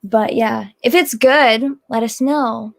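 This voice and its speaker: Higher-voiced woman with a gravelly edge. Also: slow pace. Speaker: co-host of a readathon livestream. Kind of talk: casual chat.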